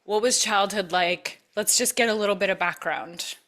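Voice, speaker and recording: A woman's voice: mid-range voice, normal speed, fairly bright tone. A woman hosting a podcast interview, reflecting back on her guest's story in an affirming, emphatic way, often repeating phrases for effect. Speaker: podcast host.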